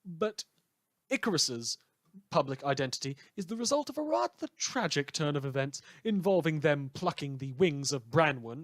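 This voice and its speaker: A man's voice: a little high, fairly bright tone, dry recording. A man playing an opinionated, wry radio news host, delivering a chatty, theatrical monologue with sardonic asides in an audio drama. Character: opinionated, indignant, wry male small-station radio presenter.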